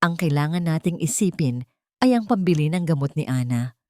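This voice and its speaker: A woman's voice: low-pitched, lively intonation, moderate pace, darkish tone, no room sound, clean studio sound. A woman voicing a poor, caring husband and father in an audio story, earnest and tender. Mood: worried. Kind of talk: audio story character dialogue.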